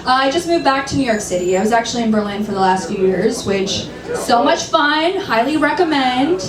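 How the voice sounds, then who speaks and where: A woman in her thirties doing a dry, self-deprecating stand-up set, sometimes shrill and laughing at her own jokes. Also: expressive, brisk, crowd noise. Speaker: stand-up comedian. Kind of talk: stand-up set at an open mic. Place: comedy club stage. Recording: roomy, distant, noisy club recording.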